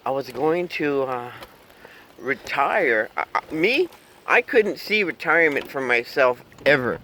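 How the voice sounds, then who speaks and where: A man's voice: mid-range voice, lively intonation, unhurried, sharp tone, slight background noise. A man in his fifties chatting in a car in a rambling, joking way, chuckling and humming silly tunes. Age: 50s. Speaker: vlogger. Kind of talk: rambling casual chat.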